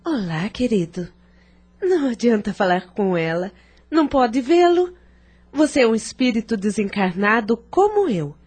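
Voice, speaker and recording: A woman's voice: fairly high pitch, very animated, moderate pace, darkish tone, slightly rough. A Brazilian actress playing a worldly, flirtatious female ghost in a radio drama, coaxing and teasing. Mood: seductive. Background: background music.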